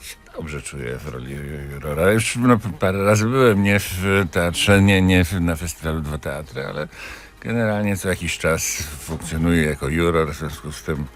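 A man with a very deep bass voice answers questions in a radio studio. His speech is casual and halting, with hesitations as he forms his answers. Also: faint music behind. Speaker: theatre director, interview guest.

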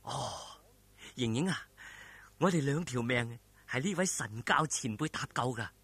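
A man's voice: medium pitch, lively intonation, a little slow, a bit bright, breathy edge, no room sound, voice pushed down. A man with a Hong Kong Cantonese accent voicing a bold, caring young swordsman hero in a martial arts storybook, speaking casually and earnestly. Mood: calm, relieved.

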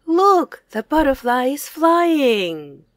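Very slow, lively woman teaching children. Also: sits high, dry recording, studio quality. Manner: deliberate, separated words with exclaimed prompts. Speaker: English teacher. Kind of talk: slow read-aloud language lesson for children.

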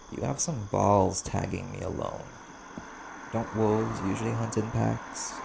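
A man playing a cautious, sardonic vampire bounty hunter in an audio roleplay, speaking in a gravelly voice with measured, dry delivery and pointed pauses. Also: fairly low pitch, noisy background. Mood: cool, taunting. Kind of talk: audio roleplay, slow dramatic monologue to the listener.

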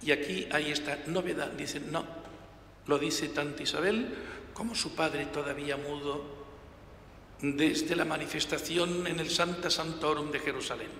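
A man's voice: fairly high pitch, fairly even intonation, unhurried, gravelly. Older male priest, sixties, emphatic homily delivery on a lectern mic, roomy church sound with a faint hum. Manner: emphatic, gestures with hands, varies loudness for emphasis. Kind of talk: reading the Gospel and preaching a homily. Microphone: gooseneck lectern mic. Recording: reverberant church hall, faint room noise.

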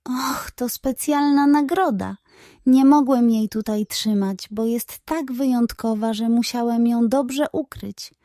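A woman voicing a sly, scheming, excitable male pug in a children's storybook, with a theatrical, flattering manner. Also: on the high side, slow, dry recording, clean studio sound.

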